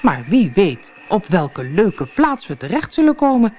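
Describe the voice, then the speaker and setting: A cheerful old wife from a storybook, played by a woman narrator, speaks with bouncing, animated pitch. She is endlessly optimistic and unbothered, taking her time over each phrase. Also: unhurried, slight background noise. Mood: delighted. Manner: upbeat, unbothered. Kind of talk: storybook character voice.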